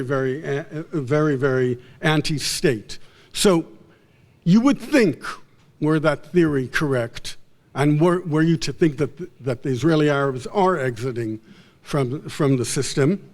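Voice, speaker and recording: Halting, higher-pitched male lecturer. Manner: halting, often repeats and restarts words and phrases. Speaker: professor, lecturer. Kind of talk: academic lecture, unscripted.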